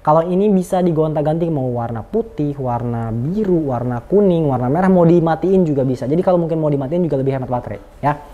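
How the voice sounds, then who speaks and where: A man's voice: on the high side, expressive, fast, few pauses, muffled recording. A man, giving an informal, slangy, unscripted product review in a chatty way.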